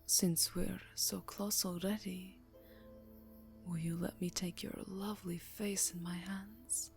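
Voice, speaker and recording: A woman playing a seductive, aristocratic vampire countess in an ASMR roleplay, teasing and formal, with a breathy voice that often drops to a whisper. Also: fairly low pitch, steady tone, takes it slowly. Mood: seductive, tender. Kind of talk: ASMR roleplay, slow intimate acting. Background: music.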